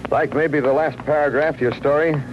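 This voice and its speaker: An American actor playing a brooding, sardonic big-city police detective in a hard-boiled 1950s radio drama, with clipped delivery. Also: male voice, fairly high pitch, fairly even intonation, brisk, muffled recording, natural voice. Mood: probing, sardonic. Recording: noisy old radio recording.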